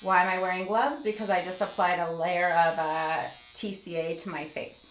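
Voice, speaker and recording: A woman in her forties talks through a home skincare treatment in an easy, chatty way, reading the chat aloud as she works. Her voice sits fairly low, and the room gives it a noticeable echo with a faint electric hum underneath. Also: fairly even intonation, normal speed. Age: 40s. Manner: chatty, explains steps while multitasking, reads chat aloud. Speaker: skincare livestream host. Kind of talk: casual live tutorial chat.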